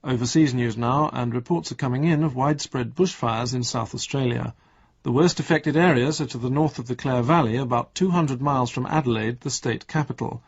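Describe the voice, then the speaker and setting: An actor playing a radio newsreader, delivering a formal, measured and impersonal bulletin in a drama. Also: male voice, mid-range voice, slightly rough, muffled recording. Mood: sober, neutral. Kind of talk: formal broadcast news reading.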